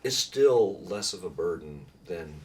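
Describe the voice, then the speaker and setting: A man's voice: low voice, expressive, unhurried, darkish tone. A middle-aged man chatting casually about faith as a pastor and host, in an informal, rambling, self-deprecating way. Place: small room with foam panels. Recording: distant mic, room noise.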